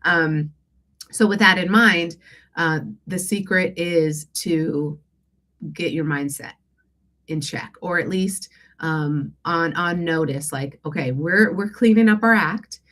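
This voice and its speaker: A woman with a low voice speaks through a congested, stuffy nose. She hosts a casual coaching session as a life and business coach. Her delivery is conversational and a little hesitant, often checking in with the people listening. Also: takes it slowly, fairly bright tone. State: congested from allergies. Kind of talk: casual live Q&A coaching talk.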